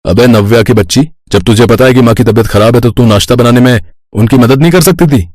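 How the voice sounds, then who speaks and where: A man's voice: medium pitch, fast talker, a little mellow, dry recording, clean studio sound, close to an everyday voice. A man voicing a young brother who teases and scolds his sister in a cartoon family story. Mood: grumbling. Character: young brother, teasing and bossy.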